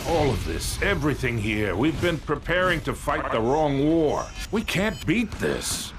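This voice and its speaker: A man's voice: medium pitch, huge pitch swings, measured pace, over music. A man playing a shaken, defeatist military field commander in a video game, with noise behind the voice. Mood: grave, despairing. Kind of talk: video game cutscene acting.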